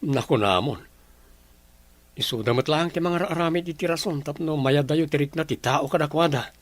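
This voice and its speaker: A man's voice: fairly high pitch, normal speed, no room sound, lightly acted. A man playing a frustrated, defensive young village son in a radio drama, with an Ilocano Filipino accent.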